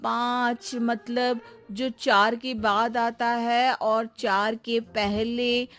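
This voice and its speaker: A woman's voice: on the high side, little pitch movement, drawn-out words, fairly bright tone, soft background music. A woman voicing an exasperated housewife in a cartoon story, questioning and scolding her maid with sarcastic emphasis. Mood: mock innocence.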